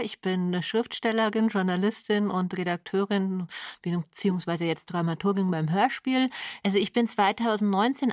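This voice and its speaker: A woman's voice: fairly low pitch, dry recording, studio quality. A woman introducing herself as a writer and editor in an interview.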